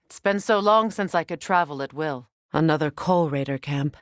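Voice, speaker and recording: An actress playing a proud middle-aged Norse goddess and warrior companion in a video game, with formal, grave diction. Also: female voice, sits low, normal speed, no echo, clean studio sound, lightly acted. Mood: grave, measured.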